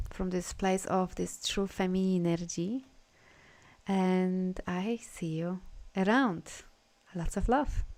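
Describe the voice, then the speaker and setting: A woman leads a live spiritual session, talking casually and gushing over her viewers. Her voice is smooth and clear, pitched in the middle for a woman. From Poland. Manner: effusive, gushing praise and frequent laughter. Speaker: energy healer hosting a live session.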